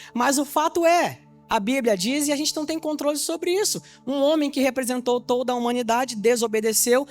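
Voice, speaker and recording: A man from Salvador, Bahia, preaching an evangelistic sermon to his congregation. He teaches with rhetorical questions and keeps checking whether they understand. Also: extremely high pitch. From Salvador, Bahia, Brazil. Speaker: pastor.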